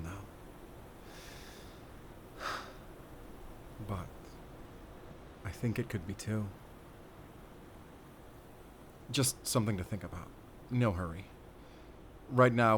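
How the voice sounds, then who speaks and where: Man acting a measured, battle-worn werewolf hunter. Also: on the low side, slight background noise, natural voice. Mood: pensive, tender. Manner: measured, deliberate, pausing between short phrases. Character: battle-worn male werewolf hunter, calm, wry, quietly tender. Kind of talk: cinematic audio roleplay acting.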